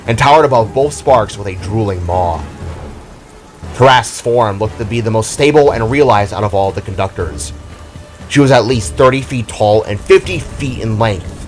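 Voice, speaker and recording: A man giving dramatic, theatrical narration of a scripted fantasy story. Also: lowish voice.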